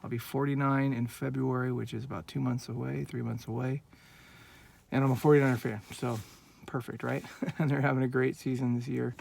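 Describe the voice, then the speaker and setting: A man's voice: mid-range voice, flat delivery, brisk, gravelly, darkish tone. A man in his forties from Northern California, talking in an earnest, rambling, heartfelt way in a casual personal vlog, recorded on a handheld phone. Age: 40s. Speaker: vlogger.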